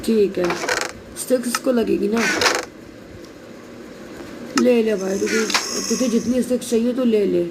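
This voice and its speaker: A man with a sore throat talks his viewers through a game in a loose, chatty way, pausing now and then to clear his throat. The recording is noisy, with clicks running underneath. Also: high-pitched. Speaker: gameplay video creator. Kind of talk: casual gameplay commentary.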